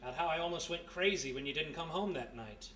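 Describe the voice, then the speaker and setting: An actor playing a gruff, wry former thief turned private detective in a 1930s-style radio mystery. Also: male voice, fairly high pitch, animated, quick pace, sharp tone. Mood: tender, wry. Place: live performance in a historic house. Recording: roomy live stage recording, distant mic, faint noise.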